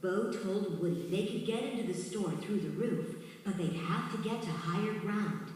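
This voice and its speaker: A woman's voice: medium pitch, normal speed, a little mellow. Echoey woman narrating a children's storybook. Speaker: read-along story narrator. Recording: very echoey distant hall, music underneath.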